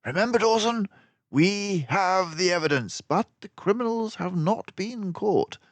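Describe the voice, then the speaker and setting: A man's voice: high voice, lively intonation, a little slow, dry recording, pitched above the performer's own voice. A man voicing a clever, confident mouse detective from a storybook, with an emphatic, precise, lecturing manner. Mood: confident, urgent. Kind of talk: storybook character voice.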